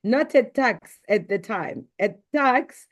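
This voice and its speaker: A middle-aged South African woman whose first language is Sepedi, answering interview questions as a business executive in a measured, composed way. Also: fairly high pitch, animated.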